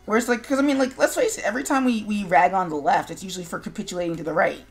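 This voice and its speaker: A woman's voice: medium pitch, racing. Sarcastic, blunt American woman chatting over music. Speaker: streamer, show host. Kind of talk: casual opinionated chat.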